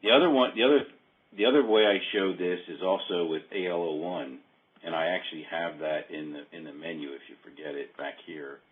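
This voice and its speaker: A man giving a casual software walkthrough over a conference call, thinking aloud in a halting way. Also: deep voice. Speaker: software demonstrator. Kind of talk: casual screen-share walkthrough. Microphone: phone or conference call. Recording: muffled narrow phone-like call.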